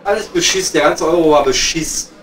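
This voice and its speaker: A man's voice: on the high side, fairly quick, fairly bright tone, some chatter behind, natural voice, rough recording. A man playing a blunt, grumbling coal miner with a Ruhr accent in improvised pub comedy. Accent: Ruhr area German. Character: grumbling, simple working-class coal miner at his pub. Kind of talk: improvised comedy audio play, pub chat.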